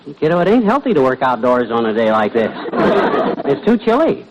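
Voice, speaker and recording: Folksy American husband, lazy wisecracker, animated delivery, slangy grumbling, old radio comedy recording with faint noise. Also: male voice, medium pitch, moderate pace, lo-fi sound, a crowd in the background. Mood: whiny.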